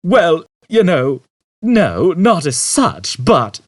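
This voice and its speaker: A man's voice: on the high side, big pitch swings, very slow, no room sound, clean studio sound, pitched above the performer's own voice, heavily acted. A man voicing a conceited, irritable male space fugitive in an audiobook, with a complaining, emphatic manner. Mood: evasive.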